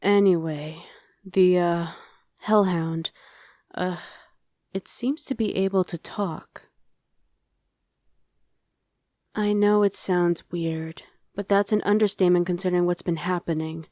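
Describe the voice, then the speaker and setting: Woman acting a mellow, low-voiced young female survivor. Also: steady tone, a little slow, no echo, clean studio sound, lightly acted. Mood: wry, explaining. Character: friendly, streetwise young woman surviving a demon invasion. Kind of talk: ASMR roleplay, conversational acting addressed to the listener.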